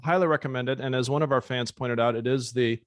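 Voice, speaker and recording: A man's voice: mid-range voice, fairly even intonation, fast talker, no echo. An American man chatting casually as a podcast host, with a wry, playfully showy way of presenting. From United States.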